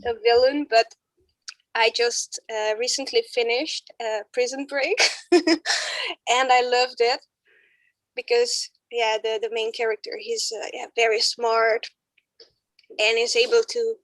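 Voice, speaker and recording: A young woman introduces herself on a video call in a fairly high voice. The sound is dry and close. She talks in a chatty way, stopping to search for words. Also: steady tone, a little slow, pauses often, no room sound. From Romania. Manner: chatty and searching for words, with frequent yeah fillers. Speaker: student. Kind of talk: self-introduction in an online workshop.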